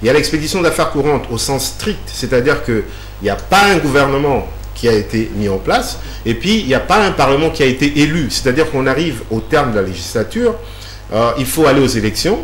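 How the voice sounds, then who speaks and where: A man lays out a long explanation, stopping often on fillers and repeated words. His voice sits at a middle pitch. The room is echoey, and a faint hum runs underneath. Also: big pitch swings, fairly quick. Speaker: guest. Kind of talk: explanatory interview answers.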